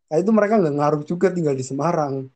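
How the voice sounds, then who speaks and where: A man's voice: fairly high pitch, fast, darkish tone. A young man with a northern Ngapak Javanese accent, chatting casually as a podcast co-host, rambling with restarts and easy laughter.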